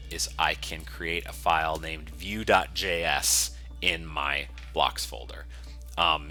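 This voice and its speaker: Deep, very bright-voiced man explaining. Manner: casual, methodical step-by-step explaining. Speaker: software developer giving a tutorial. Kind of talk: screencast coding tutorial, explanatory and unscripted.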